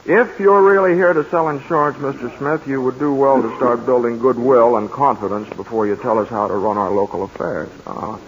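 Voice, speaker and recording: A man's voice: medium pitch, fairly even intonation, a little fast, few pauses, a little hiss or hum, lightly acted. An actor playing an eager young insurance salesman in a 1940s radio play, stammering and earnest. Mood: indignant. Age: young adult. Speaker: insurance man new to town. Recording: muffled 1940s radio broadcast.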